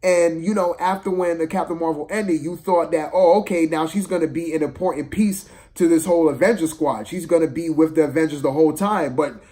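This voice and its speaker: A man's voice: a little high, fairly quick, few pauses, mellow. A man giving a casual, opinionated movie review, exasperated and slangy, repeating phrases for emphasis.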